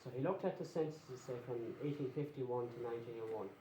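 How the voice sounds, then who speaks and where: A man's voice: medium pitch, fast, dark tone, echoey room, a little hiss or hum, poor audio. A man with an Irish accent giving a public talk to a local history society, explaining things conversationally and checking in with his audience. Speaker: guest lecturer on place names. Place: meeting room with an audience.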